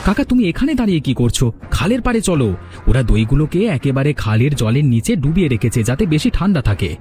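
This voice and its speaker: A man's voice: medium pitch, big pitch swings, a little fast, darkish tone, soft background music, natural voice. A man voicing a sly, mischievous young village man in a cartoon drama.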